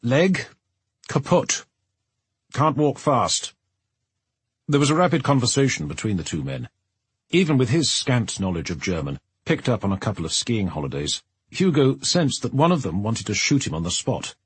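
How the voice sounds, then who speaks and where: A man reading scripted third-person narration from a novel as an expressive audiobook narrator. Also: fairly low pitch, brisk, husky, no room sound.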